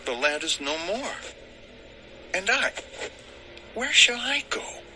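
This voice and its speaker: An actor playing a protective, anguished elder brother, pleading deliberately in a dramatized audio Bible. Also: male voice, sits high, big pitch swings, sharp tone, a little hiss or hum, heavily acted. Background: music.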